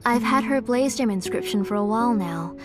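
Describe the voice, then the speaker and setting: A woman voicing a caring, cautious mother in a game cutscene, speaking gently and with measured care. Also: a little high, natural voice. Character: caring mother, careful and worried. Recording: game audio with background music.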